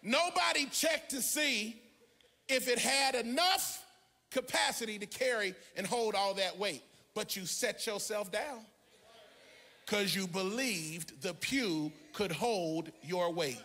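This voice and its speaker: A middle-aged American man preaching an animated, emphatic sermon as a pastor, theatrical, into a handheld microphone in a large church auditorium. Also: high voice, slow. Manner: emphatic, theatrical, often shouting and half-singing.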